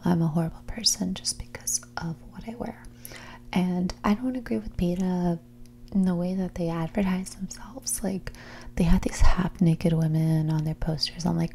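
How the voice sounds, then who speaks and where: A woman performs a mean rich young woman in a whispered ASMR date roleplay. Her voice is mellow and soft, slipping between breathy whispers and gravelly fry. She drawls with snobbish condescension and blunt cattiness, recorded close with clear noise behind her. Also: on the low side. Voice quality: breathy whispers mixed with soft creaky speech.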